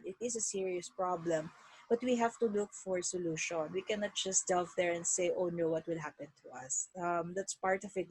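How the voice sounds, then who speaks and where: A Filipino woman giving conversational, earnest interview answers in an animated way over a video call. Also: mid-range voice, breathy edge, dry recording, low-quality recording. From Philippines. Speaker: interview guest, virtual assistant.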